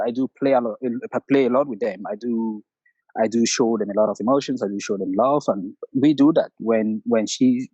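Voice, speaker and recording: A middle-aged man from Cameroon with a Cameroonian accent, calling in to tell a personal story in a halting way with many restarts. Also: mid-range voice, darkish tone, husky, no room sound, lo-fi sound. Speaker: caller. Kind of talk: personal story on a call-in show.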